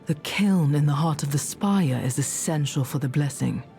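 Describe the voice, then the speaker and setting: A woman's voice: deep voice, normal speed, dark tone, soft background music. An actress playing a determined young woman rebel in a video game, earnest and subdued. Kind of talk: video game cutscene acting.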